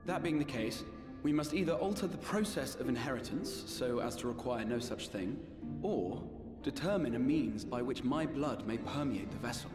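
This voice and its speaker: An earnest, learned fantasy leader, played by a man, with a medium-pitched voice and formal, sincere phrasing. Game music swells behind his echoing, measured speech. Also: lively intonation, close to an everyday voice. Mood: earnest, resolute. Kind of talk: scripted video game voice acting. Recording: echoey reverb under game music.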